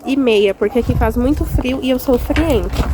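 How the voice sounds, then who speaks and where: A Brazilian woman chats her way through a casual outdoor vlog, her voice sitting a bit high and a little congested from a cold. She exclaims over small things as she walks. Clear wind noise rushes over the phone recording. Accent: Brazilian Portuguese. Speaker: vlogger. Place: outdoors on rural land, windy. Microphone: handheld phone.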